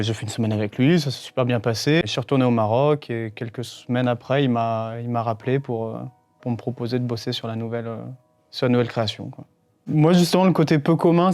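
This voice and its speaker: A man's voice: mid-range voice, a little mellow. Young male acrobat, informal interview, conversational and colloquial, music underneath. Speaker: circus acrobat, juggler. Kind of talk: informal interview about own work.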